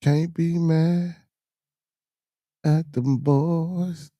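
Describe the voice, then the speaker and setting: A hoarse man hosting a podcast in loose, slangy casual chat, muttering to himself as he fumbles to share a clip. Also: a little high, steady tone, drawn-out words, dark tone, no room sound, highs cut off. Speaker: podcast host. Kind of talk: casual live-stream chat.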